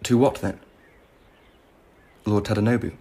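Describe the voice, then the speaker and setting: An actor playing a genial, indulgent but shrewd Emperor in a radio drama, urbane and teasing in manner. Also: male voice, fairly low pitch, steady tone, unhurried, creaky, mellow. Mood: challenging, unruffled.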